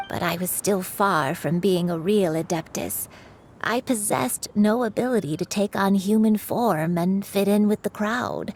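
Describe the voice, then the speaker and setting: A woman's voice: mid-range voice, a little hiss or hum, lightly acted. An actress voicing an elderly grandmother who is secretly a crane spirit, speaking tenderly and with remorse. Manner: tender, sorrowful, confessional. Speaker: game character. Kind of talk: dubbed game dialogue.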